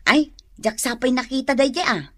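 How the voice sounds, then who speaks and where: Gossipy friendly neighbor, high voice, ilocano filipino accent, radio comedy, dry studio sound. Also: female voice, normal speed, no room sound. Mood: chatty, agreeable. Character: chatty, agreeable female neighbor humoring her friend. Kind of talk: comedic radio drama acting.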